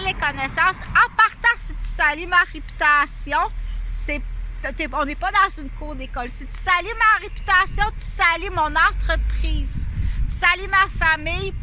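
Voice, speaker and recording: A woman's voice: high-pitched, noisy background. A woman with a Québécois French accent, talking in a rambling, repetitive monologue and defensively addressing an unnamed person she accuses. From Quebec, Canada. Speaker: vlogger. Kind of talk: rambling direct-to-camera monologue.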